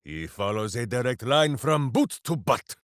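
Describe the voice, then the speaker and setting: Big dumb lovable hero warrior, booming and theatrical, slow pace, pitch all over the place, video game. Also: male voice, sing-song, a bit bright, dry recording, clean studio sound. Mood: earnest, simple-hearted. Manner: boisterous, theatrical, speaks of himself in third person. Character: big-hearted, dim, bombastic male warrior hero with hamster. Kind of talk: video game character voice lines.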